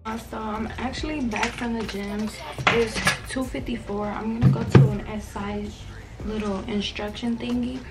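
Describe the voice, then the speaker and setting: A woman's voice: a little high, fairly even intonation, normal speed. A young adult woman chatting casually to a handheld camera in informal, slangy student-vlogger talk. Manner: informal, slangy, filler-heavy with "like" and "y'all". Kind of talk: casual vlog chat to camera. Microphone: handheld camera at arm's length. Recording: loud music under the voice. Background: loud music.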